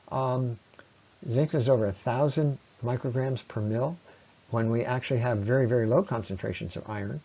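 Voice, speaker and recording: A man's voice: mid-range voice, a little mellow, breathy edge. Man lecturing on a dull, lo-fi recording. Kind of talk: explanatory slide presentation.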